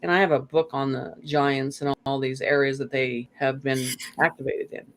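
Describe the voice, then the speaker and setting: A woman's voice: very deep voice, little pitch movement, normal speed. A woman talking casually as an interview guest, telling stories in a rambling way with frequent "you know" asides. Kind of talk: casual conversational storytelling.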